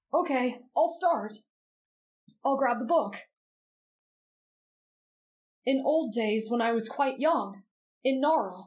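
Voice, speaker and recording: A woman voicing a gentle, earnest, patient teenage boy in an anime bedtime-story roleplay. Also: on the high side. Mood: earnest, kindly.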